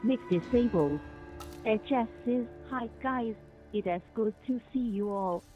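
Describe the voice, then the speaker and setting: A woman's voice: a little high, unhurried, muffled recording, over music. A synthetic woman's voice reading out chat messages as a text-to-speech narrator. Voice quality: synthetic, computerized. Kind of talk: computerized chat read-out.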